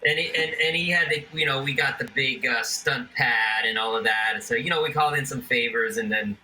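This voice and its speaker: Bright-voiced filmmaker chatting loosely. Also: male voice, medium pitch, fairly quick, slight background noise, low-quality recording. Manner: rambling, many fillers and restarts. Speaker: independent filmmaker, guest. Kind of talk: casual interview chat.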